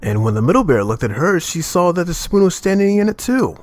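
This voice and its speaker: A man narrating a children's tale as a storyteller, reading expressively in a measured manner. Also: high voice, sing-song, a little mellow, dry recording, faint music behind.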